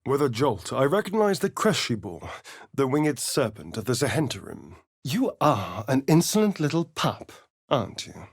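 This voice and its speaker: A man's voice: mid-range voice, huge pitch swings, moderate pace, breathy edge, no echo, studio quality, full character voice. An actor playing a sly, haughty male vampire rogue in a video game, with an arch, drawling, sardonic delivery. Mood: hushed, dramatic, then menacing. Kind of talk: video game character voice acting.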